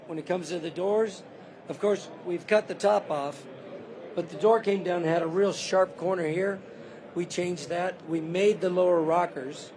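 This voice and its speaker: A car builder who is losing his voice, calmly giving a detailed walkaround of a custom car on a show floor. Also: high voice, background noise. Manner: detailed, matter-of-fact.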